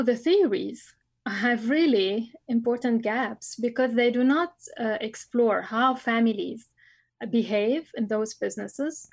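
A woman's voice: fairly high pitch, dry recording. A middle-aged woman from Lebanon, a family business scholar, answering interview questions thoughtfully with frequent fillers and restarts.

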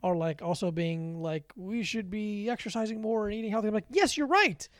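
A man's voice: sits high, brisk, a bit bright, no room sound, clean studio sound. A young man co-hosting a podcast in casual chat, teasing and laughing often. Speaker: podcast co-host.

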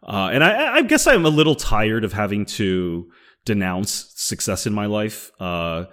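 Blunt, profane deep-voiced man. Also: big pitch swings, unhurried, fairly bright tone. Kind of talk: casual discussion.

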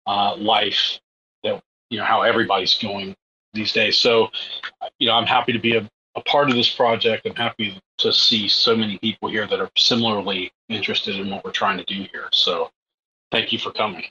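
A man from the United States, a copywriter, speaking in a friendly, halting way. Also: lowish voice, fairly even intonation, bright, forceful tone. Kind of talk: casual panel chat. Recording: dull lo-fi mic with faint noise.

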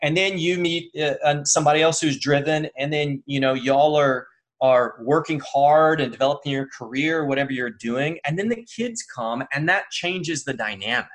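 A man's voice: a little high, normal speed. A man, probably in his thirties, speaking as an interview guest, thoughtful and earnest, often restarting his sentences with fillers. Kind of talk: reflective conversational interview.

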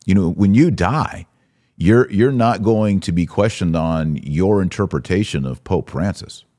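A man's voice: sits low, a little slow, mellow. A man hosting a podcast, giving opinionated religious commentary in an emphatic, exhorting manner with rhetorical questions. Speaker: podcast host.

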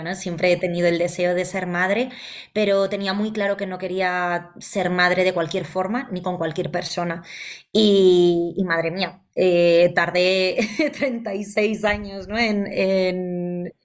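A woman in her thirties from Valencia, telling her story as a podcast guest in a chatty way, full of fillers and tag questions. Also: on the low side. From Valencia, Spain. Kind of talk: conversational storytelling.

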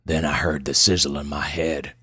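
Casting: male narrator as elderly hillbilly, very low gruff voice, halting and folksy, wary, audiobook character, dry sound. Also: flat delivery, pushed and bright, no room sound, full character voice. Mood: confiding. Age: 70s. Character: gruff old backwoods mountain man, 74, rambling and suspicious.

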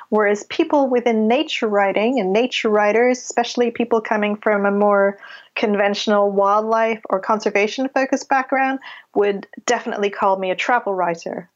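A middle-aged woman writer with a mixed British and Canadian accent, talking thoughtfully in an interview, often restarting her sentences. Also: mid-range voice. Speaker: writer guest. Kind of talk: interview conversation. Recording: dull lo-fi audio.

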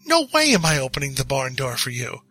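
A man's voice: medium pitch, wildly swooping pitch, fairly quick, pushed and bright, slightly rough, dry recording, faint music behind, exaggerated voice. A man voicing a six-year-old farm girl in a storybook reading, speaking warily and like a child. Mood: wary, childlike. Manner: childlike, wary.

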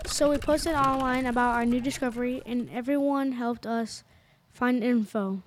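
A very high young female voice acting plays a chatty, excitable girl sleuth in an amateur radio play, with slight background noise. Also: normal speed, natural voice. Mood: proud. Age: child or teen. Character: Kate, chatty excitable girl investigating with best friend.

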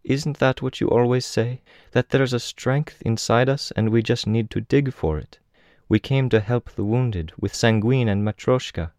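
Man voicing a soft, high-pitched Russian young woman. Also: moderate pace, dry recording, studio quality, natural voice. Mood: gently insistent. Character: soft-spoken young tendril woman with Russian accent, pleading.

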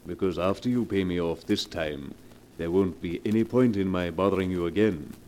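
An actor playing a smooth, mockingly polite blackmailer in a taunting 1940s radio melodrama style. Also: male voice, low-pitched, moderate pace, darkish tone, close to an everyday voice. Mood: smug, taunting. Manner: suave, taunting, mock-courteous. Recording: noisy vintage 1940s radio recording.

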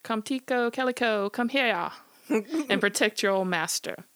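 A man's voice: sits high, measured pace, a bit bright, dry recording, voice pushed up. A woman playing a scared old Southern mountain man, hollering for his dogs with a Southern drawl.

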